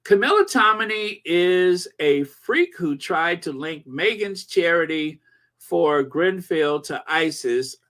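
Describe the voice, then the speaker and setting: Man in his forties, slow drawling pace, slightly high voice, rambling with fillers, casual channel commentary. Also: expressive, fairly bright tone. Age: 40s. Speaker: channel host.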